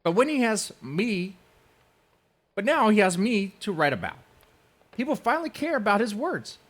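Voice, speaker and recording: A man puts on an aggrieved, mocking male rival for a dramatic reading. The voice is pushed high and swoops around, strained and exaggerated, with a steady sneer. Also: moderate pace, heavily acted.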